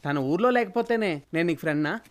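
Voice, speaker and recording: A man's voice: fairly high pitch, expressive, fast talker, a little hiss or hum, close to an everyday voice. An actor playing a young male friend who nags and teases, in film comedy dialogue. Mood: nagging.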